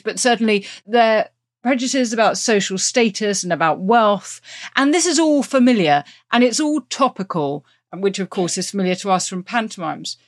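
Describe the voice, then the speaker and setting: Woman podcast host, medium pitch, articulate n thoughtful, sums stuff up and asks questions. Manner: articulate, summarises then asks. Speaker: host, interviewer.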